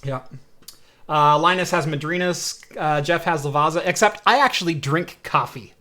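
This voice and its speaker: A loud, talkative young man from Oregon hosts a laid-back tech show and laughs easily between stories. His voice is bright and sits a little high. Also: moderate pace. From Oregon, USA. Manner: loud, chatty, laughs often. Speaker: show host. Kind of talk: casual chat on a tech podcast.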